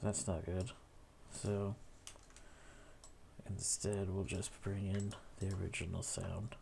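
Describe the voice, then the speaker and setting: A man's voice: sits low, level tone, takes it slowly, dark tone. A man narrating a casual editing tutorial, thinking aloud as he works with typing and clicking behind him. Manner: musing, thinking aloud with tag questions. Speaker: video editor demonstrating an edit. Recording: clear background noise with keyboard clicks.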